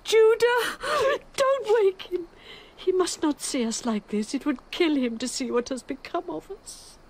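An actress playing an anguished outcast mother in a radio drama, loving yet resolute, with hushed urgency that breaks into anguish. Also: very high voice, expressive, unhurried, exaggerated voice. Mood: hushed, urgent. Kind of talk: emotional radio drama acting.

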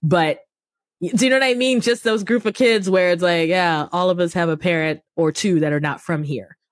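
A woman's voice: lowish voice, fairly quick, dry recording. Chatty woman podcast host, dry sound. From Cameroonian family, raised partly in the United States. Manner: animated, affirming, frequent "right?" tags. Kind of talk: conversational interview.

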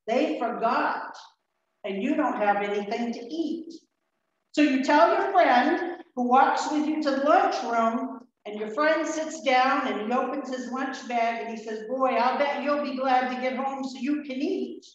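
High-voiced woman telling a children's story. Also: slight background noise. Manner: slow, gentle questioning of a child. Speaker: children's message leader. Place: large church sanctuary. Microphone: lectern mic from a far camera. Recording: echoey, dull church room recording from afar.

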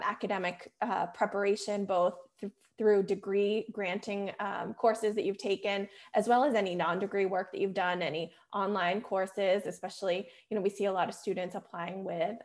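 Woman presenting on a video call. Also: medium pitch. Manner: many fillers and restarts, explanatory. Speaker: admissions program staff. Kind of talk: webinar presentation.

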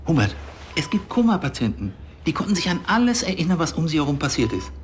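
A man's voice: a little high, big pitch swings, fast, a little hiss or hum. An actor playing an earnest, stubborn middle-aged policeman in a TV crime comedy. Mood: earnest, insistent.

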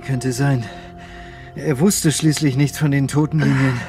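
A man's voice: medium pitch, fairly even intonation, a little slow, gravelly, darkish tone, faint music behind. An actor playing a pragmatic, reassuring man of science aboard a spaceship in a radio drama. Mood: grim. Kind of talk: German radio drama acting.

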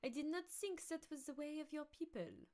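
A woman's voice: high voice, big pitch swings, a little mellow, voice pushed up. Woman putting on a nasal, sly, taunting man. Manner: drawn-out, taunting. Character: sly mocking man goading a lovesick rival.

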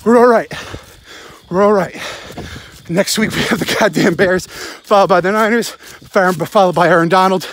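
A man's voice: high voice, normal speed, a bit bright. A man in his forties giving a blunt, sweary, rambling sports rant in short emphatic bursts into a phone while walking outdoors. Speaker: sports vlogger, football fan. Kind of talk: casual postgame rant, rambling to camera. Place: outdoors at night. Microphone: phone held close.

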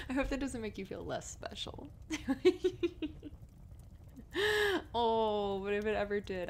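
A woman playing an affectionate, playful writer girlfriend in an intimate roleplay, speaking softly and conversationally with giggles and breathy murmurs. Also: mid-range voice, close to an everyday voice. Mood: affectionate, teasing. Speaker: audio roleplay performer. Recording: noisy fireplace ambience behind voice. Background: crackling fireplace.

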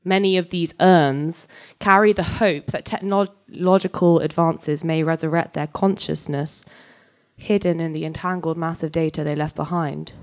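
A young adult woman giving a live, scripted dramatic reading of fiction on stage into a microphone, moving between measured narration and conversational dialogue. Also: deep voice, a little mellow. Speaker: reader of a short story. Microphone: handheld-type microphone on a stand, close.

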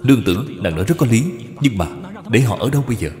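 A man's voice: fairly low pitch, lively intonation, fast talker, a little mellow, lightly acted. A man voicing an aggrieved but filial scholar husband in a cartoon, formal and emotional. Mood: hesitant, subdued. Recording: long reverb, music behind.

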